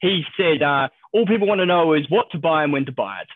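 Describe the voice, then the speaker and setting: A man's voice: a little high, animated, phone-quality sound, slight background noise. A man from New Zealand with a New Zealand accent, a stockbroker talking casually as a podcast guest, upbeat and often restarting his sentences. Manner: quick, upbeat, repeats words when starting sentences. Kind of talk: casual interview talk.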